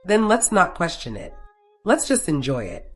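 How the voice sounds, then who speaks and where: A narrator voicing a warm, ambitious young woman in love in a romance story. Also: mid-range voice, big pitch swings, normal speed, soft background music, pitched above the performer's own voice. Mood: tender.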